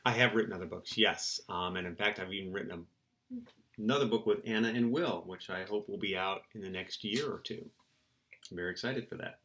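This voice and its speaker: A man's voice: lowish voice, brisk, fairly bright tone. A middle-aged man, a children's book author, giving casual, playful interview answers in simple terms for young listeners.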